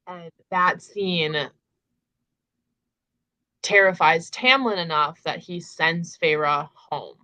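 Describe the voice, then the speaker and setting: A woman's voice: on the low side, very slow, pauses often, sharp tone, no echo. A woman hosting a casual book discussion, retelling in an emphatic, conversational way peppered with filler words.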